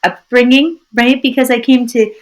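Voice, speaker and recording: A woman from Canada with a friendly, sing-songy voice, a guest telling lively stories in a casual interview and laughing often. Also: fairly high pitch, normal speed. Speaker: tour business owner, guest.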